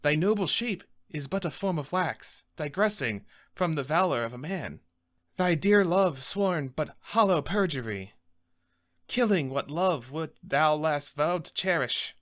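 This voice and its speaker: Classical theatre: scolding male friar man acting, declamatory and exasperated, big pitch swings, higher register. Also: animated, a little slow, fairly bright tone. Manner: declamatory, rebuking, emphatic. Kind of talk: Shakespearean theatrical monologue.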